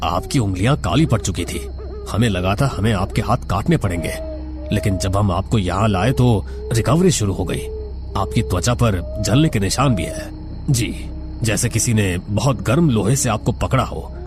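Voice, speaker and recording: Man playing a low-voiced, formal official. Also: expressive, normal speed, over music, close to an everyday voice. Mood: grave, puzzled. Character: matter-of-fact male official briefing a patient.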